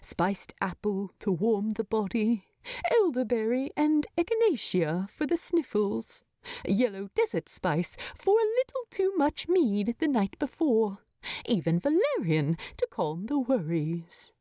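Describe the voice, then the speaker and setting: Woman narrator with very lively pitch. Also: measured pace, no room sound, studio quality. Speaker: audiobook narrator. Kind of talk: expressive scripted storytelling.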